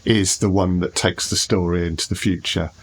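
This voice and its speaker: A man hosting a casual discussion, putting points and questions in a halting, thoughtful way. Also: low-pitched, fairly even intonation, breathy edge. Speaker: podcast host.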